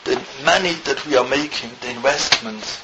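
A man from Switzerland teaching a Torah lesson in halting non-native English, quickly reading out Hebrew text and then translating it. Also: medium pitch. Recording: muffled with loud background hiss.

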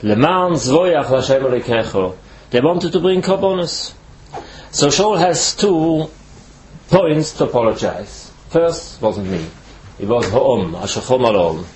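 A man from Switzerland, not a native English speaker, giving a Torah lecture as a rabbi in halting, careful English. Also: medium pitch, expressive, darkish tone, slight background noise, poor audio.

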